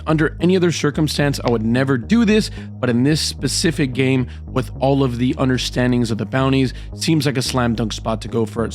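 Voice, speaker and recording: A man narrating his poker hands in a voice-over, with hyped, dramatic play-by-play and a slightly hoarse voice from a cold. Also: mid-range voice. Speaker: poker vlogger. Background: background music.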